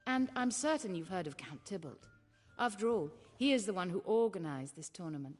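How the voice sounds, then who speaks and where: A woman's voice: mid-range voice, lively intonation, natural voice. Poised, sly woman in a reverberant hall, acted. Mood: measured, knowing. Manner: measured, wry, cultured. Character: poised, sly, worldly mystery woman at card tournament. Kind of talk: video game dialogue acting. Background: background music.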